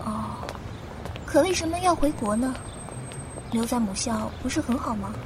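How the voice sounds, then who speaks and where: A woman playing a young university student in an audio drama, bashful and polite but given to excitable complaining. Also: on the high side, moderate pace, background noise, natural voice. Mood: reserved, polite. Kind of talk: Mandarin audio drama acting. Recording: music bed under the voice.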